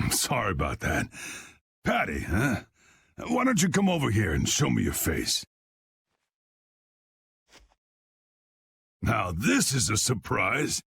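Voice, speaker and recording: A man voicing a gruff, commanding old guild boss in a video game, folksy, drawling and stubborn, with a gravelly, husky voice. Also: lowish voice, huge pitch swings, measured pace, fairly bright tone, no echo, heavily acted. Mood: amused, surprised. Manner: folksy, drawling, plain-spoken. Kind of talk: video game dub acting.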